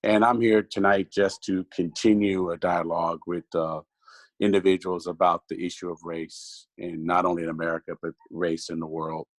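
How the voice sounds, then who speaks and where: A man's voice: deep voice, little pitch movement, normal speed, no room sound. A man who trained police officers, speaking steadily and formally as a panelist on a video call. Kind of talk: online forum introduction.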